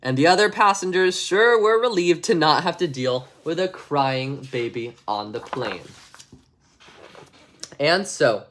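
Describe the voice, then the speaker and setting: A man narrating a children's bedtime story expressively, chatty and casual with ad-libbed asides. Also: a little high. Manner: expressive, chatty, ad-libbing around the text. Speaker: storybook reader.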